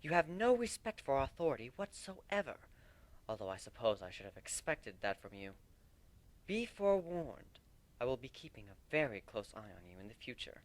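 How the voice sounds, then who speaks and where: A man's voice: mid-range voice, lightly acted. A man voicing a haughty, formal pony princess reading out a stern letter in a dramatic story reading. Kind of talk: dramatic reading of fan fiction.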